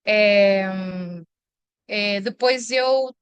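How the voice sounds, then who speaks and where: A woman's voice: mid-range voice, steady tone, drawn-out words, smooth, no room sound. A woman in her forties with a European Portuguese accent, a Portuguese teacher telling her career story as an interview guest over a video call.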